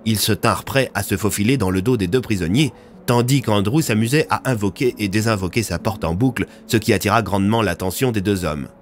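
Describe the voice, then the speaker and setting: Un homme qui raconte une fiction audio, en narration dramatique écrite. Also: male voice, lowish voice, fairly quick, slight background noise. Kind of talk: scripted dramatic story narration.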